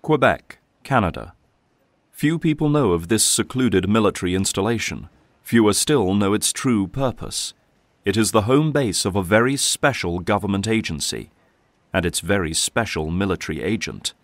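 A man's voice: on the low side, lively intonation, moderate pace, dry recording. A man giving dramatic scripted narration as the narrator of a story.

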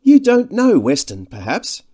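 A man voicing a slender younger gentleman in a storybook, glib, breezy and sardonic. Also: high-pitched, wildly swooping pitch, measured pace, pitched above the performer's own voice, exaggerated voice. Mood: mocking. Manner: breezy, mocking.

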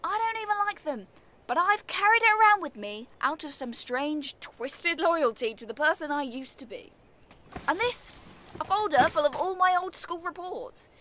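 A woman's voice: sits high, lively intonation, normal speed, pushed and bright, a little hiss or hum. A woman with a British accent playing a sardonic, defiant neighbour in an audio comedy play, brusque and sarcastic.

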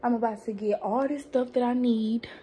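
A woman in her early twenties chatting casually to her phone camera in a vlog, slangy and excitable, squealing high when thrilled. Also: normal speed, a little hiss or hum. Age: early 20s. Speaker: vlogger. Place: apartment rooms, then parked car and outdoor parking lot. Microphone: phone held at arm's length.

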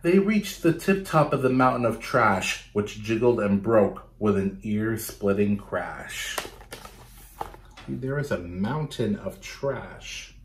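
A man's voice: lowish voice, expressive, slight background noise. Man reading a picture book to children. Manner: instructive, pausing to question and explain to young listeners. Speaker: children's storytime reader. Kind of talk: animated picture-book read-aloud with asides to children. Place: small bedroom, indoors.

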